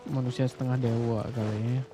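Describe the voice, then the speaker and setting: A man's voice: medium pitch, flat delivery, fast, background noise. An Indonesian man giving casual live-stream commentary on a game, with an Indonesian accent. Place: indoor live-stream setup, game audio behind.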